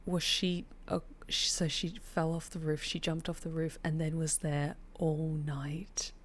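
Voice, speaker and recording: A woman's voice: deep voice, level tone, measured pace. A woman reacting to a game and reading along as she plays. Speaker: streamer playing and reacting to a visual novel.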